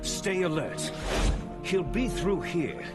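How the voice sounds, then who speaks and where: An actor playing a menacing, cocky villain who commands troops in a theatrical, deliberate way in a video game. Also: male voice, high voice, expressive. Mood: menacing, confident. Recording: loud music under the voice.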